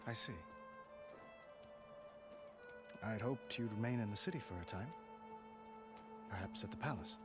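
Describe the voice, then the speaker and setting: Man acting gracious formal young king, low-voiced. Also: loud music behind the voice, close to an everyday voice. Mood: warm, wistful. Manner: formal, courteous, measured. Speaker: voice actor in a video game. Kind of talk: dramatic video game dialogue.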